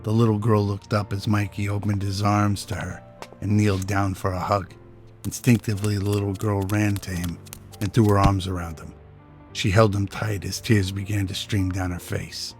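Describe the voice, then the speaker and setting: A man's voice: lowish voice, little pitch movement, moderate pace, a little mellow. A man reading scripted narration for a radio-style drama. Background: music.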